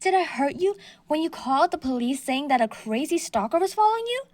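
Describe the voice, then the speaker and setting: A woman's voice: sits high, very animated, brisk, darkish tone. A woman playing a sweetly menacing yandere stalker. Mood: accusing. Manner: sweetly menacing, slips into whispers. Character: obsessive delusional female yandere stalker. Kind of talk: audio roleplay.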